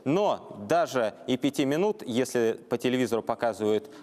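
A man's voice: mid-range voice, expressive, moderate pace, a little mellow. A man in his thirties hosting a talk show, presenting and asking audience members questions. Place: TV studio with audience.

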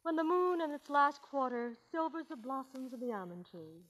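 An actress playing an alluring, regal young princess who speaks formally in a 1940s radio comedy. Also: female voice, high voice, lively intonation, normal speed, a bit bright, poor audio. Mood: solemn.

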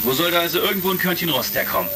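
A man's voice: on the high side, racing, gravelly. A man playing an easygoing heroic space commander in a retro science-fiction radio drama. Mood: chummy. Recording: crackly vintage record, music behind.

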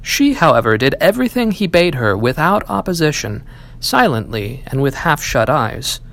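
A man narrates a fairy tale in an expressive storybook manner. His voice sits a little high for a man and moves with lively, swooping intonation. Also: normal speed, creaky. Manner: storybook delivery, acting out dialogue. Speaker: audiobook reader, library staff.

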